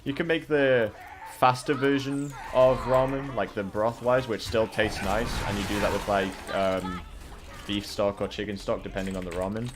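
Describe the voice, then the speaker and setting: A man's voice: fairly low pitch, fairly even intonation, moderate pace, noisy background. A young man from Wales, a streamer chatting casually on a live co-stream, rambling with lots of fillers and the odd swear.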